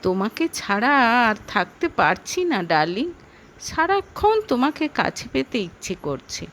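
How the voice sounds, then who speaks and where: Lady doing obsessive hot-headed boyfriend in romance story, high voice, swings about, intense. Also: big pitch swings, a little slow, a bit bright, a little hiss or hum. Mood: urgent, amorous. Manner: demanding, intense. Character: possessive, hot-headed male lover, rough and obsessive. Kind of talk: dramatic audio story dialogue.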